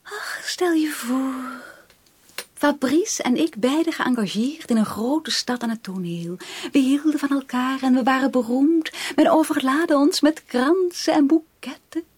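An actress in a Dutch radio drama playing a dreamy, infatuated young aunt who confides in breathy half-whispers to a small child. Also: female voice, high-pitched, measured pace, darkish tone, dry recording. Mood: rapturous.

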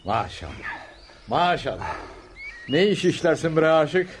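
An actor playing a gentle, pious spiritual teacher in a radio play, speaking in solemn, fatherly, measured phrases. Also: a little high, very animated. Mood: serene, fatherly. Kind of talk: radio theatre acting. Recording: noisy radio play recording.

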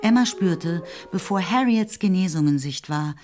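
Low-voiced woman narrating a literary radio drama. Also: expressive, moderate pace, darkish tone. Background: music.

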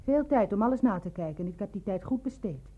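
An actress playing a determined, pointed woman facing a rival in a Dutch radio play, with a husky voice. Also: mid-range voice, expressive, fast. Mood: accusing. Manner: pointed, insinuating. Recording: narrow, muffled old radio recording.

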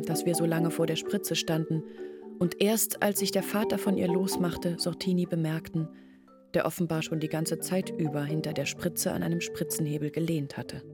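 A woman's voice: on the low side, close to an everyday voice. An actress in a radio play playing a village woman who recounts her family's downfall. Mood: wistful recollection. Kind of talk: radio play monologue, storytelling. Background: music.

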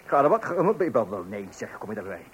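A man's voice: fairly low pitch, very animated. Flustered middle-aged father, swinging intonation. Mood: proud, indignant. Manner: hesitant, halting, conversational. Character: mild, flustered 43-year-old new father, easily unsettled. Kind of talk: radio play acting and wry narration. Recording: noisy old radio play recording.

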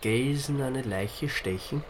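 A man's voice: mid-range voice, takes it slowly, a little hiss or hum, close to an everyday voice. Grumpy Austrian man played by an actor, dry and weary. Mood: grim, musing. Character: grumpy, weary Austrian dandy on holiday, reluctant sleuth. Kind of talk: radio drama acting.

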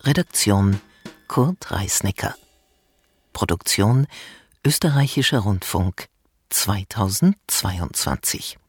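A man's voice: lowish voice, animated, slow, long pauses, slightly rough. A man reading radio credits as a formal, scripted announcement. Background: music.

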